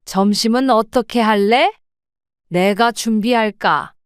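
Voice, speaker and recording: A woman's voice: fairly high pitch, slow pace, smooth, no room sound, clean studio sound. A woman voicing a stern, proper mother in her seventies who scolds firmly in an audio drama. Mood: wary. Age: older. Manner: firm, reproachful scolding.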